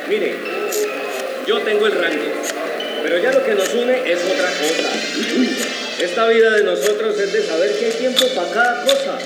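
A man's voice: high-pitched, moderate pace, long pauses, natural voice. A man with a Colombian accent playing a crude, domineering army colonel in a radio drama, bossy and coarsely familiar. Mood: cynical, familiar. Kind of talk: live radio drama reading. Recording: echoey room with loud music.

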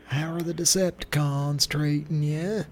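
A man hosting a live prank call show, deadpan, with mumbling asides. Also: fairly high pitch, measured pace, soft background music.

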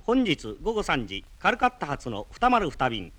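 Formal newsreader, sweeping intonation. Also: male voice, fairly high pitch, huge pitch swings, unhurried, fairly bright tone, heavily acted. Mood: formal, urgent. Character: urgent formal radio newsreader announcing a bulletin. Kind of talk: formal news bulletin in a vintage audio drama. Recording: vintage record drama with faint music.

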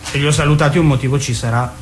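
A man's voice: mid-range voice, fairly even intonation, very fast, a little hiss or hum, close to an everyday voice. An actor playing an old retired male teacher in a naturalistic radio drama, ironic, bitter and teasing, with theatrical shifts. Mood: sardonic. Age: older.

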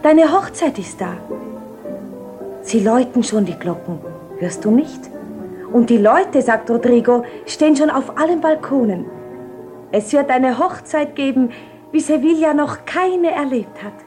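A woman's voice: high-pitched, expressive, measured pace, warm tone, soft background music. An actress playing a tender, romantic young woman's role on a wedding morning in a radio play, lyrical and theatrical. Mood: coaxing. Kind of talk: German-language radio play acting, 1970.

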